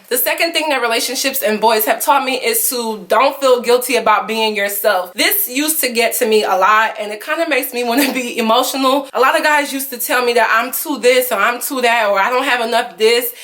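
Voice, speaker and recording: Young woman, candid advice vlog, confiding and earnest, emotional, fairly low voice. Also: fairly bright tone. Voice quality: lower voice for a woman. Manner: earnest and confiding, many fillers. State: emotional, near tears. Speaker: vlogger.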